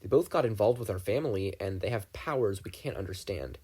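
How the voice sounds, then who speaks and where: A man's voice: lowish voice, lively intonation, fairly quick, a little mellow, breathy edge. A man voicing a blunt, exasperated young teenage girl in an audiobook reading. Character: cheerful girl, a young teen, dismissive. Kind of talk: fan fiction audiobook reading.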